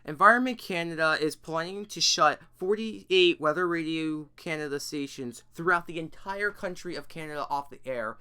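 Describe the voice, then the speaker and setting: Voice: mid-range voice, normal speed, few pauses, pushed and bright, smooth. An earnest, emphatic YouTuber giving semi-scripted commentary on an issue. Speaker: YouTuber presenting an issue video.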